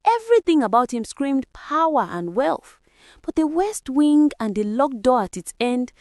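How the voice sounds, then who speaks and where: A woman's voice: mid-range voice, darkish tone, dry recording. A woman storyteller narrating a folktale in a dramatic, scripted style.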